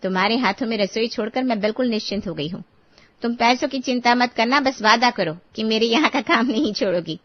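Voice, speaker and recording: A woman's voice: a little high, fairly quick, dry recording, highs cut off, close to an everyday voice. Woman acting a kind lady employer, dry sound. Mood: grateful, praising. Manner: reassuring, affectionate, polite. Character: kind, appreciative lady of the house, caring employer.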